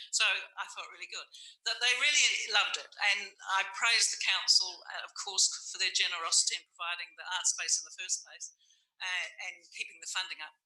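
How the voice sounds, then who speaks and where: A woman with a New Zealand accent gives her report to a community board, loud and cheerful, rambling happily through her news. Her voice is very bright and cutting. The room is echoey. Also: fairly low pitch. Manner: loud, cheerful and chatty storyteller. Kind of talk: meeting report. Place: council meeting room.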